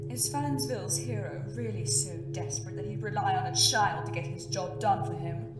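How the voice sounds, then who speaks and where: A woman's voice: mid-range voice, a little fast, natural voice. A woman playing a menacing, gloating supervillain boss in an audio drama, with a taunting, theatrical drawl. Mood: gloating menace. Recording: echoey, distant, music underneath.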